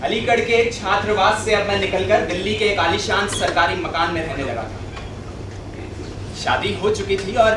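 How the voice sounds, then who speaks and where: A man's voice: high voice, moderate pace, lightly acted. Male actor as indignant government clerk, theatrical storytelling, emphatic repeats, distant noisy mic, echoey auditorium. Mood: recounting. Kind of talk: theatrical stage monologue.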